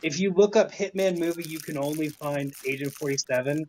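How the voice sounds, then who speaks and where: A male panelist from Wyoming talks casually. His voice sits a little higher than most men's. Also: fairly quick, a little mellow, a little hiss or hum, poor audio. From Wyoming, United States. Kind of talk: casual chat.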